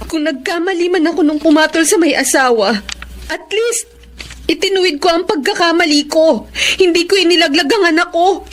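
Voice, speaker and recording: A woman's voice: very high-pitched, moderate pace. A young woman playing a resolute single mother who stands up to her mother in a radio drama. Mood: defiant. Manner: earnest, firm.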